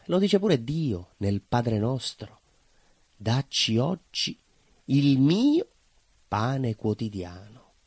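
An actor playing a simple, proud working-class baker in a stage monologue, earnest and conversational, with a southern Italian accent. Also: male voice, medium pitch, big pitch swings, very slow, long pauses, a little mellow, husky, dry recording, exaggerated voice. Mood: proud, solemn. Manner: naive, earnest, emphatic, conversational. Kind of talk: theatrical monologue, colloquial storytelling.

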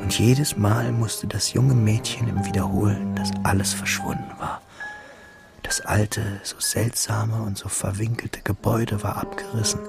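A man recalling the past as a literary narrator. His voice is fairly low and full of creak, with a restrained, melancholic way of telling. Loud instrumental music plays behind him. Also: fairly even intonation, a little slow. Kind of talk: literary scripted narration in radio drama.

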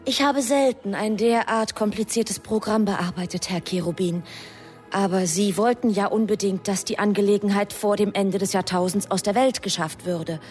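An actress playing an efficient, deferential angel in a radio drama, reporting in a precise, matter-of-fact, slightly pointed way. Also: female voice, medium pitch, moderate pace, a little mellow, natural voice. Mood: dutiful, pointed. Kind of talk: German radio drama acting. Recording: music behind the voice.

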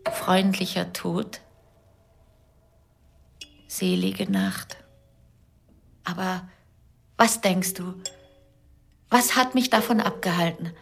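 A grieving, long-suffering wife. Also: female voice, on the low side, creaky, husky, exaggerated voice. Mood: bitter, hushed. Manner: intense, deliberate, short broken phrases. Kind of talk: radio drama acting.

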